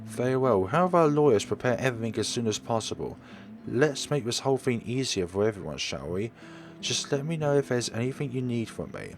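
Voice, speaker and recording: A man plays an enthusiastic, well-to-do woman in a horror visual novel. The delivery is gushing and genteel, with lively swings in pitch over faint music. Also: brisk, close to an everyday voice. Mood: brisk, polite.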